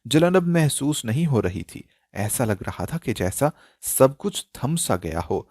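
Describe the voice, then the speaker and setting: A man narrating a scripted emotional story in a dramatic, expressive style. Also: medium pitch, a little slow, breathy edge, dry recording, clean studio sound.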